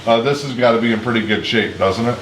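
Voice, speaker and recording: A man hosting a casual local interview, with halting, hesitant phrasing. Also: medium pitch, fairly bright tone, slight background noise. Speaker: host and interviewer. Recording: roomy echo, music underneath.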